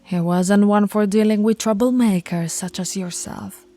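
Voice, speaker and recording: A woman voicing a dominant, stern alpha werewolf pack leader in an ASMR roleplay, scolding and taunting in a commanding, deliberate way. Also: mid-range voice, fairly even intonation, mellow, no echo, soft background music, natural voice. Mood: menacing, reflective.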